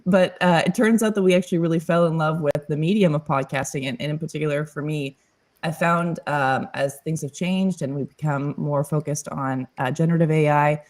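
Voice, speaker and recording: A woman who hosts a podcast, talking upbeat and casually on a video call with lots of fillers. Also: low voice. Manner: upbeat, with many fillers and soft laughs. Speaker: podcast host, panel guest. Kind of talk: casual panel conversation.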